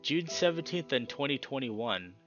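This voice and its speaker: A man giving casual, emphatic game commentary as a let's play host, pausing dramatically and swearing mildly. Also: medium pitch, very animated, highs cut off. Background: guitar music.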